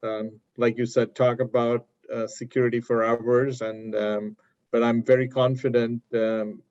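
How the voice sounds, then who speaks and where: A man's voice: medium pitch, level tone, measured pace, a little mellow. A man hosting a business webinar interview, agreeable and hesitant, with many fillers, heard through dull lo-fi audio. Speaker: webinar host.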